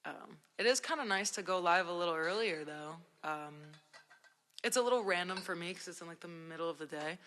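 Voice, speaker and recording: Young woman from Jersey, voice worn hoarse after a cold; easygoing, rambling livestream chatter with lots of fillers, a long echo around her. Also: lowish voice, lively intonation, fairly quick, fairly bright tone, soft background music. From New Jersey. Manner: laid-back, rambling, many "like" and "um" fillers. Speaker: independent musician livestreaming. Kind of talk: casual livestream chat with viewers.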